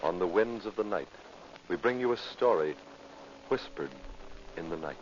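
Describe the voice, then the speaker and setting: A man working as a radio announcer in a formal 1940s style, with measured, theatrical phrasing. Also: low voice, lively intonation. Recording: muffled, faintly noisy old radio recording.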